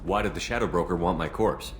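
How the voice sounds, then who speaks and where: A man playing a calm, loyal military commander in a video game, speaking in a measured, direct way. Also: low-pitched, slight background noise, close to an everyday voice. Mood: direct, inquiring. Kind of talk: video game drama acting.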